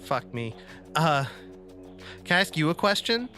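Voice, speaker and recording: A man playing a tabletop roleplaying game, improvising casually with hesitant, halting phrasing. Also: on the high side, very animated, sharp tone. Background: faint music.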